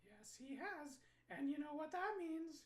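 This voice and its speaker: A squeaky falsetto agent. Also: male voice, animated, unhurried, echoey room. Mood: earnest. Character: prim eager young agent, squeaky falsetto.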